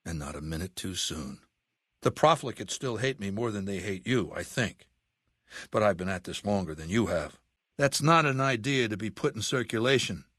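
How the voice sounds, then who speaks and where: A ruthless male warlord from a video game, with a lowish voice in a very dry recording. He speaks deliberately and with imperious command. His sardonic, menacing manner makes it clear he expects to be obeyed. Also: expressive, fairly bright tone, no room sound. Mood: lecturing. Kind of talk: video game character dialogue.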